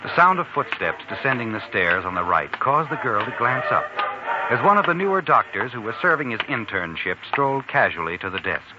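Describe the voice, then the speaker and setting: An actor as a sinister all-knowing radio mystery narrator. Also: male voice, mid-range voice, big pitch swings, noisy background, lightly acted. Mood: measured storytelling. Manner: theatrical, deliberate, addresses the character directly. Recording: old muffled radio recording, music underneath.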